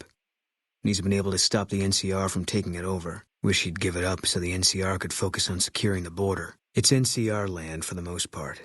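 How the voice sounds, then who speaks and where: A man voicing a grim, embittered military sniper in a video game, terse and guarded, with a husky voice. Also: sits low, no room sound, clean studio sound. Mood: matter-of-fact. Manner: terse, guarded, flat, clipped delivery. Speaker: video game companion character. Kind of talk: game voice acting, terse dialogue lines.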